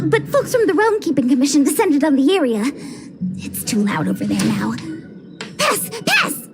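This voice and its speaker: Bubbly young female game guide: high, swooping, theatrical delivery, loud music underneath. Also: exaggerated voice. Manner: excitable, theatrical, playful. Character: cheerful playful young woman guide, game-loving, chatty. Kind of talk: anime-style video game voice acting.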